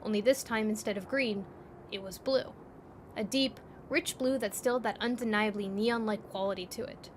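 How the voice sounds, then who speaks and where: A woman's voice: medium pitch, fairly even intonation, normal speed, a little hiss or hum. A woman narrating a scripted second-person story as the narrator.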